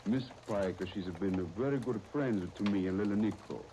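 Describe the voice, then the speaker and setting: A man's voice: fairly low pitch, natural voice. Actor as humble old Italian grandfather, noisy recording. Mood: humble, hesitant. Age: older. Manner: halting, broken English. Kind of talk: 1930s radio soap acting. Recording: noisy 1939 radio disc recording.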